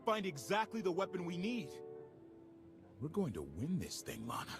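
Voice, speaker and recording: An actor playing an excitable, wisecracking young adventurer in a video game cutscene, eager and emphatic. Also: male voice, high voice, moderate pace, over music, full character voice. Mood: awed, excited.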